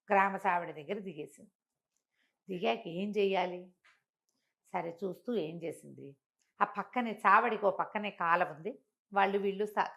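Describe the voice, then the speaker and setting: A woman's voice: fairly low pitch, gravelly, a bit bright. A woman tells a moral folk tale conversationally, explaining as she goes and repeating phrases for emphasis. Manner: explanatory, uses repetition and acts out dialogue in passing. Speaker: storyteller.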